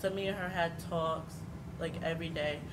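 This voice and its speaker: A young American woman speaking candidly and informally as a panelist, haltingly, with lots of "like", into a handheld mic in a meeting hall. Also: on the low side, steady tone. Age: young adult. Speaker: panelist, young mother sharing experience. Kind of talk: candid personal testimony at a panel. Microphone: handheld microphone. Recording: handheld mic, noisy room.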